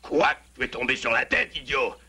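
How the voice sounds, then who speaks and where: A man's voice: mid-range voice, normal speed, bright, forceful tone, husky. A man voicing a pompous, irritable lion chief in a French cartoon, imperious and menacing. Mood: irritated, menacing. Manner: blustering, imperious. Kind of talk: French dubbed cartoon villain acting.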